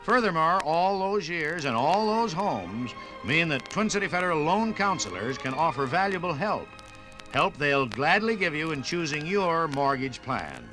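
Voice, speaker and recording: A man's voice: a little high, very animated, moderate pace, over music, poor audio. A man narrating and announcing in a polished, scripted 1950s radio style.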